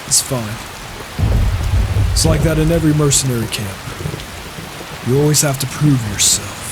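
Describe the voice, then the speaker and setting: A man's voice: medium pitch, moderate pace, natural voice. A man playing a proud, brash wandering warrior in a fantasy game roleplay, challenging and sardonic, on a noisy microphone. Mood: sardonic, grumbling. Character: proud, cocky, reclusive male wandering warrior. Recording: noisy microphone with rain and thunder.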